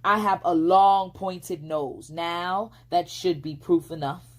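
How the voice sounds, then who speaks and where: A woman's voice: fairly low pitch, fairly even intonation, slow pace. A woman voicing a vain, pompous male fox in a storybook, speaking in a mock-courtly, formal way and easily affronted. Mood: boastful.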